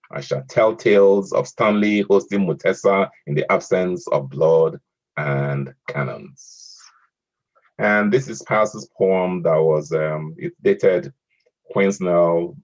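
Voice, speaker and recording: A man moderating an online poetry event, reading a poem aloud in a measured way and hesitating with fillers between speakers. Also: low-pitched. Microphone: online video call audio. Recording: muffled lo-fi call audio.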